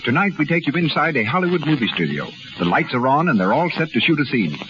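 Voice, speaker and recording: Male radio announcer: fast, animated, scripted 1930s delivery, heard through heavy noise. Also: fairly high pitch. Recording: very noisy muffled 1930s radio recording.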